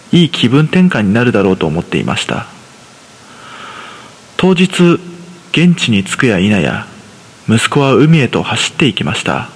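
A man narrating a scripted horror story in the first person. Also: medium pitch. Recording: long reverb on the voice.

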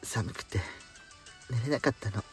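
Man acting a soft, coy devoted wife. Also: medium pitch, a little slow, slightly rough. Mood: coy, tender. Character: devoted, lonely wife waiting up at night. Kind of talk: amateur radio drama acting. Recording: background music under speech.

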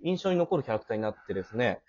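A man's voice: medium pitch, sing-song, racing, crowd noise. A man hosting a casual backstage interview chat in a joking, teasing manner. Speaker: host and creator of a radio drama, interviewing cast. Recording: muffled, lo-fi audio.

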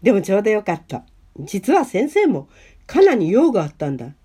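A woman's voice: medium pitch, wildly swooping pitch, a little fast, mellow, slightly rough, pitched below the performer's own voice. A woman playing an easygoing, oblivious, kindly male schoolteacher in an amateur radio drama, with casual masculine speech. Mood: casual, teasing.

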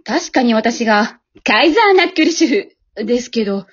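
A secretive housewife acted by a woman in an amateur radio drama, embarrassed and urgent, keeping her guard up. Her voice is a little rough, and the recording is slightly muffled. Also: a little high, expressive, moderate pace. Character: secretive housewife, embarrassed at being recognized on a train.

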